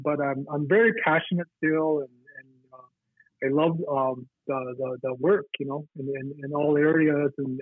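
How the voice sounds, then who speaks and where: Husky man on a tinny phone line. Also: fairly high pitch, dry recording. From Navajo Nation. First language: Navajo. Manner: halting, with many fillers and restarts. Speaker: guest. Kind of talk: reflective casual interview talk.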